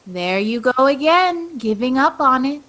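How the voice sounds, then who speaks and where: Slow, knowing female guide, acted by a woman. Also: high-pitched, expressive, a bit bright. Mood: encouraging. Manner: measured, coaxing, solemn. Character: wise, calm, knowing female guide from home. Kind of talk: radio play acting.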